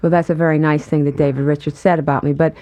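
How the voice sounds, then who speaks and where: Woman guest, lowish mellow voice, calm and thoughtful. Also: quick pace. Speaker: guest, performer. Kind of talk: conversational interview.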